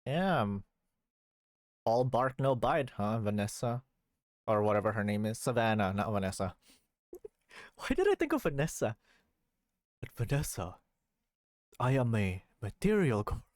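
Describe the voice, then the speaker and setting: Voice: medium pitch, sing-song, unhurried, pauses often, a little mellow, no room sound. A young adult streamer giving casual, joking and reactive commentary over a video game, recorded on a close mic. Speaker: live streamer.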